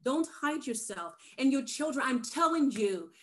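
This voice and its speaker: A woman's voice: high-pitched, very animated, moderate pace. A woman from Nigeria speaking on a video-call webinar panel, earnest and urging as she encourages parents. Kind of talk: passionate webinar talk.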